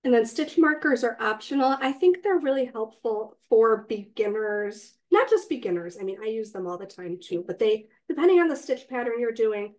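A woman with a slightly raspy, cold-hoarse voice, patiently teaching a crochet class step by step and counting stitches aloud. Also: fairly high pitch, lively intonation, normal speed, few pauses, darkish tone. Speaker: crochet instructor. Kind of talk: step-by-step craft tutorial. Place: indoors, online class.

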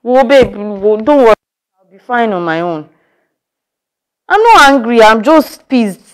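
A woman's voice: mid-range voice, lively intonation, a little mellow, smooth, lightly acted, low-quality recording. An actress with a Nigerian accent playing an overwhelmed young mother who vents, scolds and apologizes in turn. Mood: apologetic. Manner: venting, scolding, quick to apologize. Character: overwhelmed young mother, tired and short-tempered. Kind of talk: scripted skit acting.

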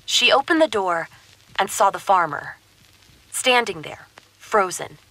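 An actress playing a shaken witness in an audio drama, recounting events in short, halting phrases. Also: female voice, medium pitch, lively intonation, pushed and bright, heavily acted, rough recording. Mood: uneasy recounting. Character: shaken woman witness recounting what she saw.

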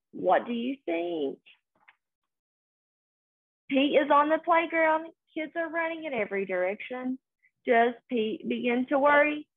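A young adult woman reading a children's picture book aloud in a sing-song, deliberate way, recorded indoors. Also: sits high, vocal fry. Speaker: story reader. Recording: phone-like, narrow-band sound.

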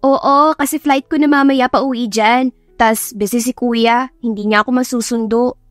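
A chirpy young Filipina best friend, as a woman narrator acts her in an audio drama, teasing and gushing. The voice is very high and dry, with music underneath. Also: steady tone, moderate pace, no room sound, close to an everyday voice. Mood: upbeat. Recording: music behind the voice.

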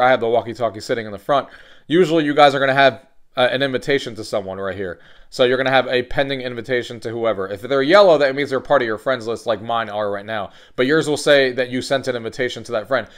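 A man's voice: medium pitch, fast. A man hosting a casual step-by-step tech tutorial, talking in an instructional way and stressing key points. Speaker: host of a tech tutorial channel.

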